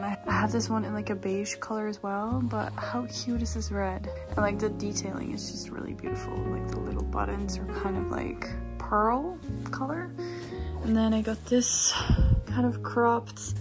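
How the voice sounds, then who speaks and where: A woman in her twenties from the Netherlands chatting casually and enthusiastically as a vlogger, speaking English as a second language. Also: mid-range voice. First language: Dutch. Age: 20s. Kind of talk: casual vlog chat.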